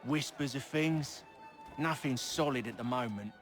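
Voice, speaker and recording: An actor playing a brash, scrappy young rogue and fixer in a colonial-era video game, slangy and cheeky. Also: male voice, mid-range voice, a little slow, soft background music, natural voice. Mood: earnest, obliging. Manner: slangy, cheeky, rough-spoken. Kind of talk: video game cutscene acting.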